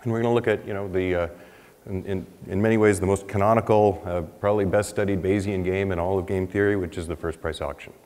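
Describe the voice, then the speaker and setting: Lower-voiced man lecturing in a hall. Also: steady tone, quick pace, a little mellow. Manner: thinking aloud, many restarts and "you know"s, rising for emphasis. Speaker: economics researcher presenting a paper. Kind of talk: conference lecture with slides. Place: large lecture hall, standing at a podium. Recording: reverberant lecture hall sound.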